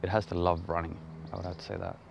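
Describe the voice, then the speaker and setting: Deep-voiced Swedish man, enthusiastic trainer. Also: level tone, fast talker, vocal fry. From Sweden. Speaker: national team trainer. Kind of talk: interview.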